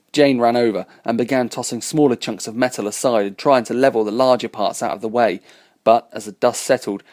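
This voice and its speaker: A man reading a fan-written science fiction story aloud as its narrator, with an even, unhurried delivery. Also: lowish voice, no room sound, studio quality. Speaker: reader and narrator.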